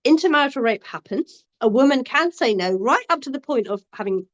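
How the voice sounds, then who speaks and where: A woman's voice: high-pitched, lively intonation, brisk, muffled recording. A woman from Bangladesh who supports abuse survivors, talking earnestly and emphatically, often restarting her phrases. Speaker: domestic abuse support worker. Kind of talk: passionate discussion.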